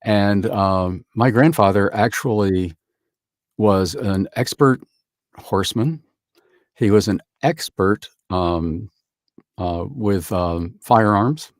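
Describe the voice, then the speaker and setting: A man with a very low voice talks about his past in an easy, unhurried way. The sound is dry and close, with no room echo around it. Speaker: voice actor guest. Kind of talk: casual conversation over a call.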